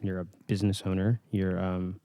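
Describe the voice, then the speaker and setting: A man's voice: sits low, level tone, normal speed, dark tone, clean tone, no room sound. A man hosting a podcast in casual interview chat, phrasing his thoughts hesitantly and encouragingly. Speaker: podcast host.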